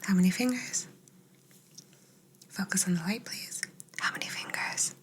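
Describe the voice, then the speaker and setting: A woman playing a curious, gently clinical alien in an ASMR roleplay, whispering soft, breathy instructions in a soothing, measured way with murmured hums. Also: on the low side, natural voice. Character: curious, gently clinical female alien examining an abducted human.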